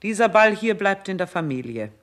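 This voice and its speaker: A woman's voice: medium pitch, very animated, brisk, close to an everyday voice. An actress playing a stern, scornful older queen in a 1960s radio play, with speech and an imperious, declamatory delivery. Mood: cold sarcasm. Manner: imperious, biting, declamatory, emphatic enumerations.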